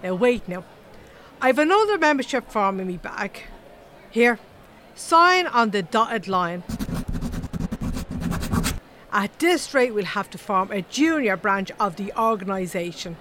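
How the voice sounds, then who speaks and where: An actress playing a folksy, coaxing Irish auntie in a comedy audio drama. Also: female voice, mid-range voice, big pitch swings, a little slow, pauses often, a bit bright, slight background noise. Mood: chummy, coaxing. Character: chummy fussing auntie recruiting a girl to her club.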